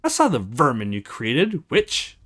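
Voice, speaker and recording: Enraged, suspicious mother in a fantasy game, venomous and accusing, sharply swinging pitch, acted by a woman. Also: wildly swooping pitch, unhurried, fairly bright tone, slightly rough, heavily acted. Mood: furious. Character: furious hostile mother, suspicious witch-hater.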